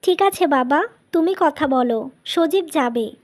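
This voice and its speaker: A woman's voice: high voice, lively intonation, a little slow. A woman voicing a plaintive yet trusting young wife in a scripted cartoon. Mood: accepting.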